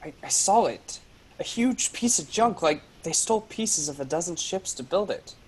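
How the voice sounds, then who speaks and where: A woman's voice: fairly low pitch, animated, moderate pace, slight background noise, natural voice, rough recording. A woman voicing a nervous, defensive young boy castaway in a sci-fi table read, a little hesitant.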